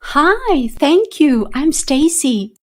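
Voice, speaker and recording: A woman's voice: high-pitched, big pitch swings, drawn-out words, exaggerated voice. A woman playing a polite, friendly woman who just moved in and works in IT. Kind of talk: scripted conversation for listening practice.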